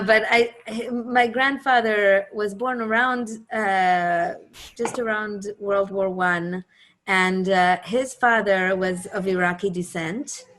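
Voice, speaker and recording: An Israeli woman, a native Hebrew speaker, talks as a musician to an audience over a video call. Her voice sits at a middle pitch. She pauses often and restarts her sentences as she looks for the right words. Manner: warm, searching, many ums and restarts. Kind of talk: casual talk on a video call.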